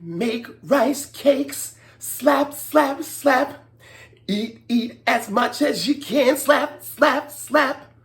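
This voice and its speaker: A woman's voice: high voice, very slow, gravelly, pitched above the performer's own voice, heavily acted. A woman voicing happy, grateful mice in a children's folktale, chanting in a cheerful singsong. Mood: merry. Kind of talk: singsong children's storybook acting.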